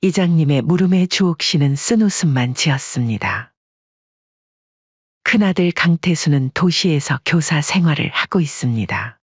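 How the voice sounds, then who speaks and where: A man narrating a family story in calm, measured audiobook style. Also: fairly high pitch, husky, no echo, muffled recording.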